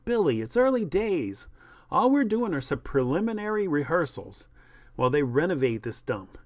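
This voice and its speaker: A man's voice: fairly high pitch, very animated, moderate pace, pitched above the performer's own voice. A man voicing a weary television director who speaks in a soothing, placating manner. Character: placating, weary male TV director calming actors.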